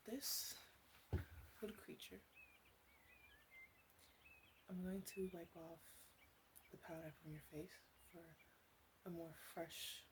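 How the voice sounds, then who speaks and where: A flirty, doting witch, played by a woman speaks softly in an ASMR roleplay, often slipping toward a whisper. Her voice sits a little low, and her delivery is intimate, gentle and slightly hesitant. Light ambient noise sits behind her. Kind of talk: ASMR roleplay, soft-spoken with whispered stretches.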